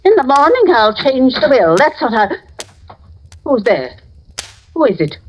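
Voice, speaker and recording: A cranky, high-pitched old aunt. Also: female voice, very animated, a little slow, a little hiss or hum. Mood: stern, suspicious. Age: older. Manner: scolding, fretful. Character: cranky, suspicious old spinster aunt, rich and stern. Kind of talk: old-time radio drama acting. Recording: dull, lo-fi old radio recording.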